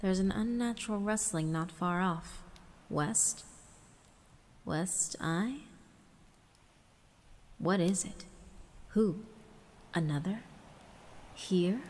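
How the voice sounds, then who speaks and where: A woman giving a dramatic first-person narration of a visual novel, theatrical and hushed, with deliberate pauses. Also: lowish voice. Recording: long reverb, music underneath. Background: background music.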